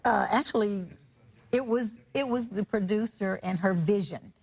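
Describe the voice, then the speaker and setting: A woman's voice: mid-range voice, moderate pace, darkish tone, husky. A woman speaking as a stage director in a casual interview.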